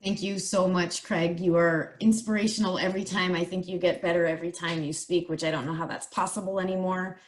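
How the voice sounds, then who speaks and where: A woman's voice: on the low side, steady tone, brisk, hardly any pauses. A woman moderating a webinar who introduces the speakers with praise and the occasional filler. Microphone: video call.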